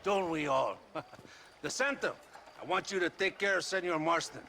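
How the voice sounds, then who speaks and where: An actor playing a boisterous, lecherous, cruel Mexican army officer in a video game, oily and mock-friendly, with a Mexican accent. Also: male voice, on the high side, normal speed, sharp tone, slight background noise. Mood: smug, jovial. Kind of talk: video game cutscene acting.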